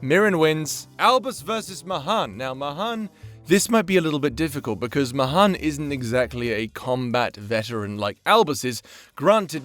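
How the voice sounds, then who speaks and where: A man's voice: medium pitch, a bit bright. A man hosting a channel with casual, sweary, rambling commentary in an informal, chatty way. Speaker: creator and host of a fan-facing channel.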